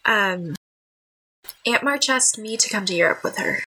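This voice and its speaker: A woman's voice: mid-range voice, animated, unhurried, bright, forceful tone, breathy edge, full character voice, rough recording. A woman acting a considerate, apologetic younger sister, a young adult, earnest and hesitant, in a modern audio drama.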